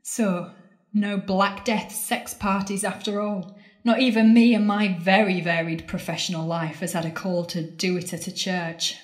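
A woman's voice: mid-range voice, little pitch movement, a little slow, close to an everyday voice. An actress playing a defiant, worldly woman in an audio drama, intense and sardonic as she challenges her lost love. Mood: sardonic, teasing. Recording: echoey, roomy sound.

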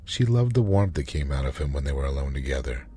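A man narrating a scripted story in a measured, dramatic manner. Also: very deep voice, expressive, warm tone, breathy edge, faint music behind.

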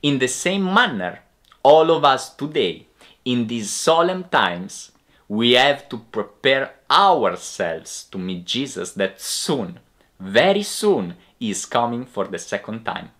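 A man in his twenties preaches with an Italian accent. He is a native Italian speaker addressing the camera as an evangelist, at an unhurried pace with an average pitch. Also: big pitch swings, a bit bright. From Italy. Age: 20s. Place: indoor room with bookshelves.

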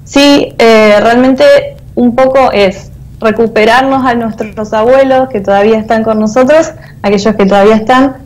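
A woman's voice: fairly high pitch, steady tone, moderate pace, few pauses, a little mellow, low-quality recording. A woman in her thirties with an Argentine accent, giving conversational interview answers as a guest over a video call. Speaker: guest, theatre artist and teacher. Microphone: earphone mic on a video call.

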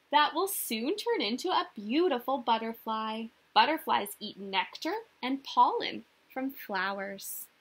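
A young woman reading a picture book aloud to small children like a teacher, expressive and sing-song, often putting questions to them. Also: a little high, slow, breathy edge. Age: young adult. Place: small indoor room.

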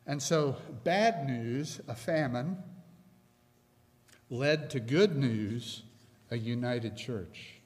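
Slow, emphatic middle-aged male preacher. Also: mid-range voice, darkish tone. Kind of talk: sermon. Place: church sanctuary, at a pulpit. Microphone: pulpit microphone. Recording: long church reverb.

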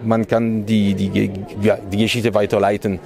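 A man's voice: lowish voice, little pitch movement, normal speed, gravelly, a little mellow. A man, answering outdoors into a handheld mic, hesitant with many fillers. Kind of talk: spontaneous interview answer.

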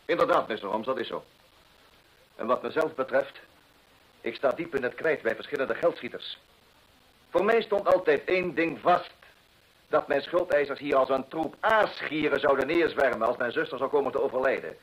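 An actor playing a proud, desperate gentleman landowner in an old radio drama, husky, earnest and theatrical. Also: mid-range voice, normal speed, poor audio. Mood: anxious, pleading. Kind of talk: old-time Dutch radio drama acting.

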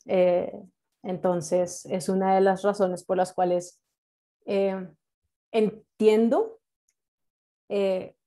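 A young woman with a Colombian accent, a native English speaker, teaches an online class informally, encouraging her students in a halting way with frequent check-in questions. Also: mid-range voice, little pitch movement, mellow. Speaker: medical English teacher and nurse. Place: indoors, on a video call. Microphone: video call microphone.

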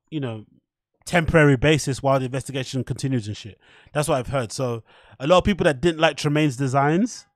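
A man's voice: a little high, dry recording, clean studio sound. A man hosting a livestream, giving casual, opinionated commentary with slang and swearing. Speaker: host of a livestream show. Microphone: close desk microphone.

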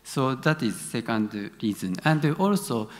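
A middle-aged man with a Japanese accent, a native Japanese speaker answering conference questions haltingly, searching for words. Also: mid-range voice, very animated. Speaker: conference presenter, university academic. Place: large conference hall stage. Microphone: gooseneck podium microphone. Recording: reverberant large auditorium.

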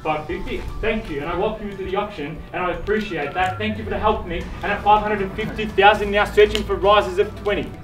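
Brisk Australian auctioneer calling bids outdoors. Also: male voice, high-pitched, steady tone. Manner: fast persuasive bid calling, punchy repetition. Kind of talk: live property auction calling. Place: outdoor driveway of a house. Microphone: far camera. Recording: echoey, distant, with music bed.

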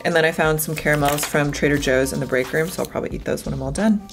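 A woman's voice: fast talker, over music. A woman in her thirties, chatting upbeat to the camera held at arm's length as she vlogs her day. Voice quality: deep morning voice. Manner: chatty, upbeat, addresses viewers directly. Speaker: vlogger. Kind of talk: casual vlog narration. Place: car, office, outdoors. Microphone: handheld camera at arm's length.

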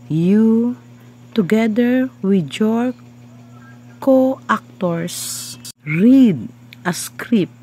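Very slow-reading woman narrator. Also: mid-range voice, a little mellow, low-quality recording. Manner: deliberate, phrase-by-phrase delivery. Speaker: teacher and narrator. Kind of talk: slow scripted read-aloud for reading practice.

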